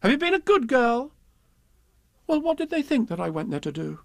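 Man playing a high-voiced, put-upon little girl. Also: big pitch swings, normal speed, creaky, a bit bright, dry recording, clean studio sound, exaggerated voice. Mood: exasperated. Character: good little girl, put-upon, puzzled by grown-ups' questions. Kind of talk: children's verse recital.

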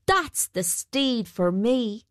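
A woman's voice: a little high, big pitch swings, extremely slow, no room sound, clean studio sound, voice pushed up, exaggerated voice. A woman voicing a boastful male weaver who fancies himself a knight, speaking in grand storybook declarations. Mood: proud, grand. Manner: grand, cheerfully self-important declarations. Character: boastful male weaver turned self-styled wandering knight. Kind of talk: storybook character voice.

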